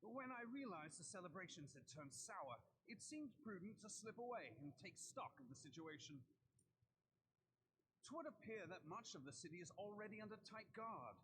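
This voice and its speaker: A man's voice: high-pitched, sing-song, normal speed, a bit bright, slight background noise, rough recording. A man voicing a suave, dryly witty scout in a fantasy video game, speaking in articulate, archaic formal phrases. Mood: wry.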